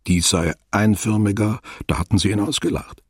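A man narrating a literary radio play as a sober, observant storyteller with a detached, deliberate delivery. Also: on the low side, steady tone, husky, dry recording, clean studio sound.

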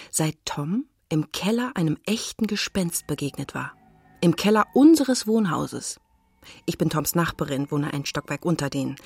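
A woman's voice: low voice, creaky, a little mellow, slightly rough, exaggerated voice. A woman playing a nosy neighbour who narrates a children's radio play in a confiding, suspenseful way. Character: nosy gossipy neighbour woman telling the story. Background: faint music.

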